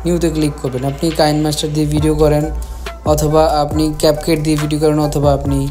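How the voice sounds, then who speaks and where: A man with a Bangladeshi accent giving step-by-step software instructions as a tutorial host, repeating his phrases as he goes. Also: on the high side, level tone, fast. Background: music.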